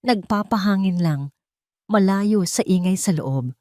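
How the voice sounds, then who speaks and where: A woman's voice: mid-range voice, a little slow, darkish tone, no room sound, studio quality, close to an everyday voice. A woman voicing a nervous, evasive old male patient who stammers and hesitates.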